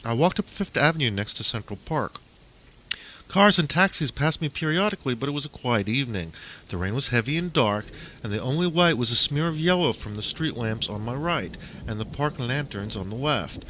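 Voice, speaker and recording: A man's voice: medium pitch, expressive, fairly quick, a bit bright, slight background noise, low-quality recording. A man reading his own stories as a dramatic first-person audiobook narration, with a theatrical manner, on a homemade recording.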